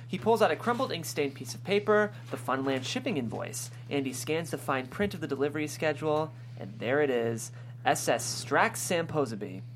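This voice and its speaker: Low-voiced woman reading screen directions. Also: animated, normal speed, slight background noise. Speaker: narrator reading stage directions at a script table read. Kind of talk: scripted narration of screen directions.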